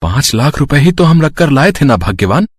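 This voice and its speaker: A man voicing a kindly, generous wealthy gentleman in a cartoon devotional story. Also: fairly high pitch, sing-song, brisk, breathy edge, dry recording, studio quality. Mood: kind, benevolent. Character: kindly, generous rich man, secretly a benevolent god. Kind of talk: Hindi cartoon story acting.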